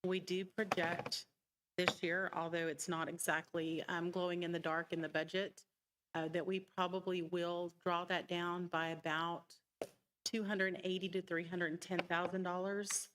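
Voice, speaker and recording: A woman's voice: fairly low pitch, level tone, a little slow. Woman presenting at a noisy public meeting. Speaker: city finance official presenting a budget. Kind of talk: formal public budget presentation. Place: council meeting room.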